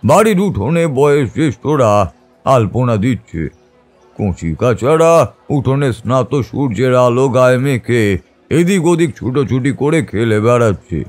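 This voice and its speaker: Slow, dramatic male storyteller over music. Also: mid-range voice, expressive. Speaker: story narrator. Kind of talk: scripted, literary first-person ghost story narration. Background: background music.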